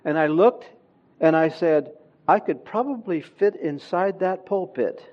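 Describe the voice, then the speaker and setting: A man who grew up in Honduras, preaching a sermon in a church with slow, emphatic delivery that swells louder on key words. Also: fairly high pitch, dull audio.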